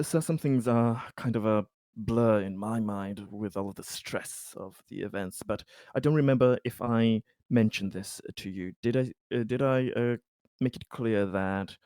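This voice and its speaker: A man's voice: fairly low pitch, a little mellow, no echo, studio quality, natural voice. A man voicing a guilt-ridden male colony official, speaking hesitantly with many fillers. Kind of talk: in-character roleplay speech.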